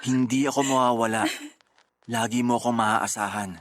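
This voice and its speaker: Soothing, devoted husband, mid-pitched man acting. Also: fairly even intonation, moderate pace, no echo, natural voice. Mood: reassuring. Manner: soothing, earnest. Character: devout, patient, devoted husband comforting his wife. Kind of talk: radio drama acting.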